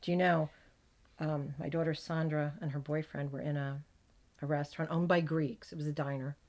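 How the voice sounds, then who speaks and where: A middle-aged American woman with a gravelly voice, chatting as a podcast host and telling animated stories. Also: low voice, normal speed. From United States. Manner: chatty, animated storyteller, laughs often. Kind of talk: casual chat.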